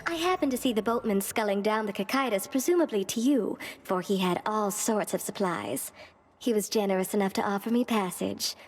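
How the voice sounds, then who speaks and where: A friendly little female spider voiced by a woman for a video game, chatty and genteel. Her voice sits at a middle pitch, washed in long reverb. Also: a little fast, close to an everyday voice. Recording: long reverberant hall effect.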